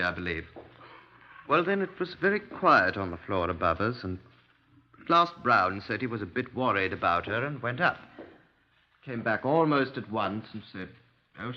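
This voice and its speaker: An actor playing an earnest, agitated gentleman witness in a 1950s radio drama, hesitant, wordy and apologetic. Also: on the low side, close to an everyday voice. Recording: dull 1950s radio recording.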